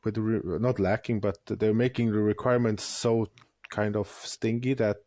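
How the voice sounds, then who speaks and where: A man's voice: on the low side, fairly even intonation, normal speed, mellow, dry recording, studio quality. A man co-hosting a gaming podcast who talks hesitantly and searches for words while giving critical opinions. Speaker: podcast co-host. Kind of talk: casual gaming podcast chat.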